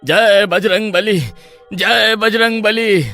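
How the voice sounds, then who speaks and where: A man voicing a panicky young husband who cries out loudly for help. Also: sits high, fairly even intonation, takes it slowly, soft background music, heavily acted. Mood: relieved. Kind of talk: Hindi storybook voice acting.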